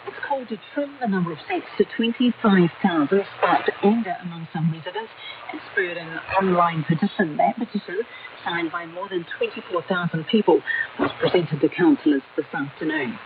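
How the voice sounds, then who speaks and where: A woman's voice: lowish voice, normal speed. A woman with a New Zealand accent reading a scripted radio news bulletin over shortwave. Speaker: radio newsreader. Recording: noisy narrow-band shortwave radio broadcast, distant.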